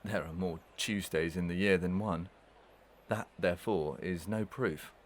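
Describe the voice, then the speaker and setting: An actor playing a haughty, evasive gentleman villain in a Victorian melodrama, pompous, formal and disdainful. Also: deep voice, steady tone, measured pace. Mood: scornful, defiant. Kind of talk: Victorian melodrama audio play acting.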